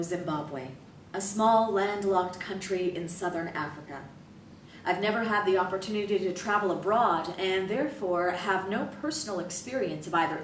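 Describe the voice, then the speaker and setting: A woman gives a measured, deliberate reading of a first-person story. Her voice sits at an ordinary middle pitch and carries a noticeable room echo. It is caught from some distance off the mic, with a faint low rumble underneath. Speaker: reader. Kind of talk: reading a novel excerpt aloud.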